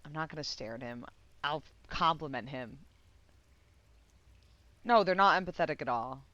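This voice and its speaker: A woman giving casual live game commentary, excitable and giggly, swearing and meandering as she thinks aloud. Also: low-pitched, normal speed, no room sound, muffled recording. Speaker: game streamer.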